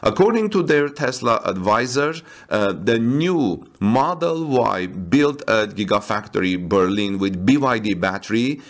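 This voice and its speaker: A man with a somewhat low voice reads tech news as a voice-over. He breaks off into casual asides, stumbling over fillers and restarting his sentences. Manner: halting, with frequent fillers and restarts. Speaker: tech news channel host. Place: voice-over over stock footage.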